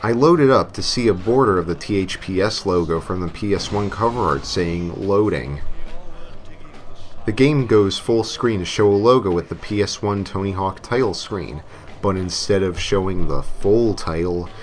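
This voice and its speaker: A man's voice: sits low, normal speed. A man reading a scripted story as narrator in a tongue-in-cheek way, sometimes hamming up his lines. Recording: music playing behind the voice. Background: novelty songs and game music.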